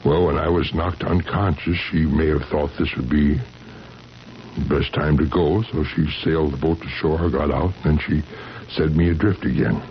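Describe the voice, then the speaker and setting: An actor playing a weary, distressed seventy-year-old husband in a 1970s radio drama, halting and repeating himself with quiet insistence. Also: male voice, extremely low pitch, fairly even intonation. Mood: weary, resigned. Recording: dull, noisy vintage radio recording.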